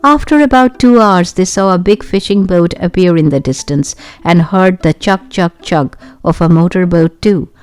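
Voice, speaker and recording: A woman narrating a children's adventure story in an expressive, clearly enunciated way. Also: lowish voice, moderate pace, few pauses. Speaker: audiobook narrator. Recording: music behind the voice. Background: background music.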